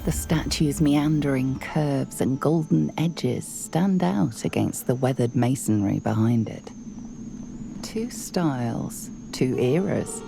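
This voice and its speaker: A woman playing a solemn, measured narrator in a fantasy game, reading scripted descriptions. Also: very deep voice, vocal fry, heavily acted, rough recording.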